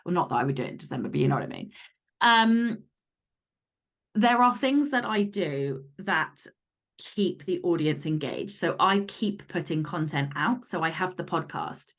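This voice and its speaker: Candid woman with a lowish voice. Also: animated, normal speed, a bit bright. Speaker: guest author and podcaster. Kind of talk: casual interview chat.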